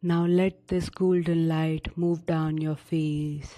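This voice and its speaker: A woman narrating a guided sleep meditation in a soothing, unhurried manner with long pauses between short instructions. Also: deep voice, flat delivery, dark tone.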